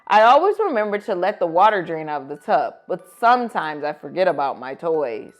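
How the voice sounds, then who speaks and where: A woman reading picture books aloud to children as host, with expressive, dramatic emphasis. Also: fairly low pitch, fairly quick, soft background music. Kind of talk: animated storybook read-aloud for children.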